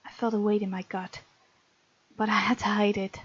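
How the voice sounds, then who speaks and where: A woman's voice: medium pitch, level tone, normal speed, breathy edge, muffled recording. A woman hosting a gaming video, expressively reading game text aloud with casual, playful commentary.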